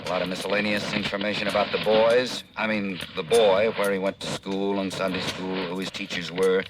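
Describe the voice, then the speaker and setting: An actor playing a harried, folksy American insurance manager in a 1950s radio drama, talking in a conversational way. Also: male voice, deep voice, little pitch movement, brisk, lightly acted. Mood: concerned. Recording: noisy, clicking vintage radio recording.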